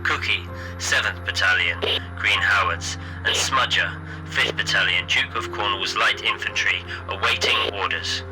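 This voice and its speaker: An actor playing a formal wartime military reporter in an audio play, clipped and urgent as if over radio. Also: male voice, fairly low pitch, a little slow, rough recording. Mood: formal, grave. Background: music.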